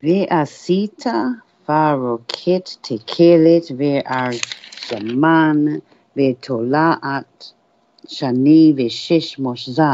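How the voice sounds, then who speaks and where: A woman reading scripture aloud as a Torah reader, in careful, halting short phrases. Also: deep voice, warm tone. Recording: dull, lo-fi, dry sound.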